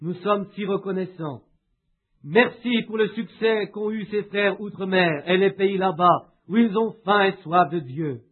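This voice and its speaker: A man reading a sermon aloud and leading a prayer in a measured, unhurried way with frequent pauses. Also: sits high, breathy edge. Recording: tinny, phone-like lo-fi recording.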